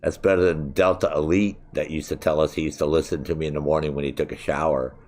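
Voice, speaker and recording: Very deep-voiced, cursing male streamer. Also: steady tone, fast, darkish tone, slight background noise. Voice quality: soothing. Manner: chatty, profane, griping. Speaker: game streamer. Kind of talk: casual live-stream gaming commentary. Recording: game gunfire over voice.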